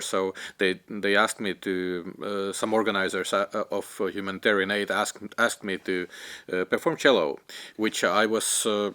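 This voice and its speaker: A young man with a very low voice and a clean, smooth tone, talking in a documentary interview. His Ukrainian-born, Finland-raised speech is halting, full of "uh"s and restarts while he hunts for the right English word. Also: sharp tone. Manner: halting, many fillers and restarts, searching for words. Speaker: interviewee, cellist and filmmaker.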